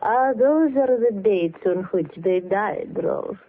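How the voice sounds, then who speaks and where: Slow, sinister, seductive mystery woman. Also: mid-range voice, animated. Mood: calm, ominous. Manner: slow, deliberate, teasing. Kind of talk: 1940s radio mystery acting. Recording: muffled vintage radio recording, faint music.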